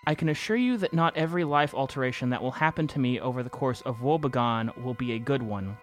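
A man's voice: mid-range voice, quick pace, faint music behind, natural voice. A man playing an anxious narrator who confesses to a reality-bending game, in an earnest, reflective first-person audio drama monologue. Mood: bewildered, afraid.

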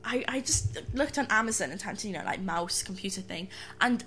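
A woman's voice: medium pitch, lively intonation, fairly quick, fairly bright tone, soft background music. A woman chatting casually and giggling, sometimes acting out silly voices. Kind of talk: casual chat.

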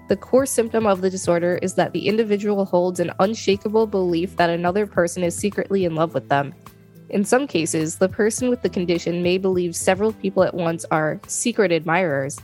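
A woman's voice: lowish voice, darkish tone. A woman co-hosting a podcast, chatting in a dry, matter-of-fact way and reading an article aloud. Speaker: podcast co-host.

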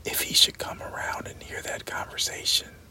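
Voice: normal speed, close to an everyday voice. A reader voicing a wry, learned, stout male doctor, whispered throughout. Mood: dry. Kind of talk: whispered audiobook reading.

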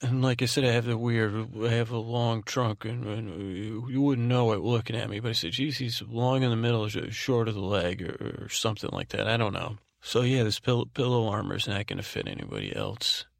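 A man hosting a sleep podcast in a soothing drone, meandering and halting as he repeats himself and trails off to lull listeners. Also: lowish voice, vocal fry, no room sound, clean studio sound. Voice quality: soothing, soft-spoken drone. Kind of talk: rambling bedtime monologue meant to lull.

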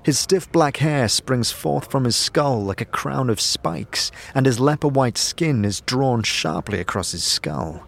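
Creaky male fantasy narrator. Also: mid-range voice, lively intonation. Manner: measured, descriptive storytelling. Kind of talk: scripted second-person fantasy narration. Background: background music.

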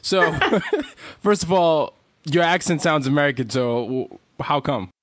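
A man's voice: fairly high pitch, a little slow, dry recording. A man from Nigeria interviewing a guest in a casual, friendly way, laughing often and restarting his sentences.

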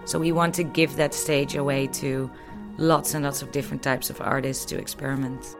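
A woman's voice: extremely low pitch, flat delivery, normal speed. A woman explaining her venue's work in a spontaneous interview, as a representative of an art museum. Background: music.

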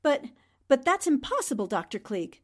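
A woman's voice: high voice, sing-song, normal speed. A woman playing a level-headed, skeptical newspaper reporter in the style of 1940s radio drama. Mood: doubtful.

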